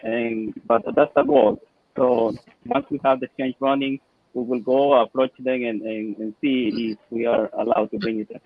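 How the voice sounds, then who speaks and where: A man's voice: medium pitch, expressive, moderate pace, vocal fry, narrow, tinny audio. A man from El Salvador on a live team stream, speaking hesitantly and repeating his words. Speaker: project team member.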